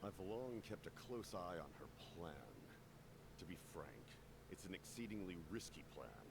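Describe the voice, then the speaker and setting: An actor playing a grave, commanding military captain in a video game, speaking in a measured, formal, persuasive way with a gravelly voice. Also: male voice, sits low, sing-song, noisy background, exaggerated voice.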